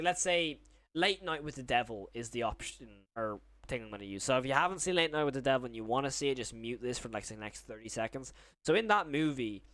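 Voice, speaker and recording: A man's voice: medium pitch, fairly bright tone, no room sound. A young man chatting casually on a live stream as he reacts to videos and chat, rambling and often rephrasing himself mid-thought.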